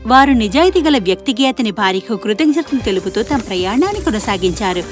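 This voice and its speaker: Lively, high-voiced woman storyteller. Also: a little fast, faint music behind. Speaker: narrator. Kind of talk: scripted children's story narration.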